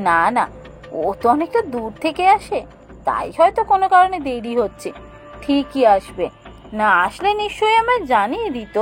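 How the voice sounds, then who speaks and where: A woman voicing an anxious young female office worker who tells her ghost story in suspenseful, drawn-out phrases. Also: high voice. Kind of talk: dramatic first-person horror narration for a cartoon. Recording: music under the voice.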